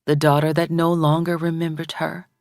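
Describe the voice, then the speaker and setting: A woman reading a story aloud as an audiobook narrator, in a solemn, measured and dramatic way. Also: low-pitched, level tone, a little mellow, no echo, studio quality.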